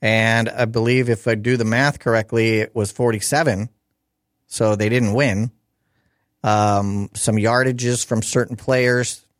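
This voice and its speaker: A hoarse man with a slightly low voice hosts a call-in radio show. He rambles casually through tech news with plenty of ums and restarts, close to a dry studio mic. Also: steady tone, unhurried, no room sound. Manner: rambling, chatty, many fillers and restarts.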